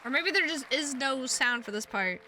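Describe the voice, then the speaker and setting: A woman's voice: high-pitched, lively intonation, moderate pace, sharp tone, a faint crowd. A woman streaming a visual novel, reading the text aloud and chatting to viewers with playful, goofy asides, on a close microphone. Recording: music behind the voice.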